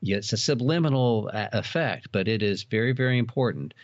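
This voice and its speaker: A man's voice: on the low side, expressive, brisk, no room sound. A man speaking as an interview guest, explaining things earnestly and emphatically in a conversational way, on a slightly lo-fi recording. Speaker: podcast guest, radio drama producer.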